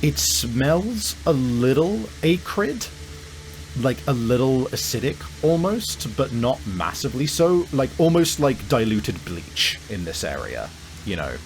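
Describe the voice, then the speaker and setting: A man's voice: mid-range voice, big pitch swings, a little slow, slight background noise, poor audio. A man narrating a tabletop roleplaying game as game master, improvising and often searching for his words, with music behind him.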